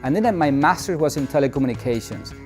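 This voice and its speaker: A man from Argentina, a scientist speaking quickly and plainly in an interview. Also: a little high, expressive, darkish tone, faint music behind. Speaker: planetary scientist.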